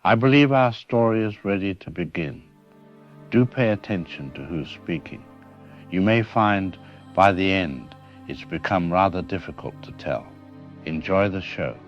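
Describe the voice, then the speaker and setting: A man's synthetic voice playing a wry, erudite host delivering a measured, theatrical prologue to an audio drama. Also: low-pitched, lively intonation, muffled recording. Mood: wry, ominous. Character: wry, erudite male host with ominous irony. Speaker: narrator introducing an audio drama. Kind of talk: scripted narration, theatrical prologue. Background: music.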